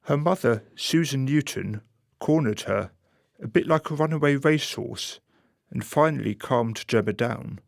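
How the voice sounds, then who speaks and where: A man narrating a scripted radio play in a wry, measured storytelling manner. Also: mid-range voice, creaky, dry recording, clean studio sound.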